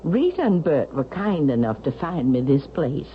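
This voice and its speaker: A dull, hissy vintage radio recording carries a haughty elderly woman. Her voice sits slightly low and moves expressively as she speaks slowly and deliberately. She is sharp-tongued and suspicious, in 1970s radio drama style. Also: sing-song. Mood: commanding, icy. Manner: haughty, deliberate, pointed questioning.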